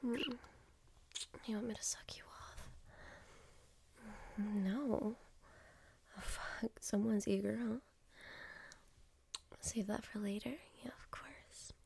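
Slow, whispering young woman with vocal fry. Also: mid-range voice, fairly even intonation.

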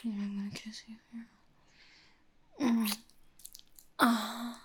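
Young woman, intimate whispered roleplay to the listener, breathy and husky, unhurried, slightly high voice. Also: flat delivery.

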